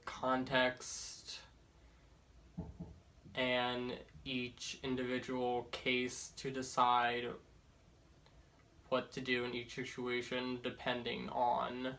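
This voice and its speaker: A man's voice: medium pitch, monotone, drawn-out words, vocal fry, long pauses, pushed and bright. A young man of eighteen giving terse, brief interview answers. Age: young adult.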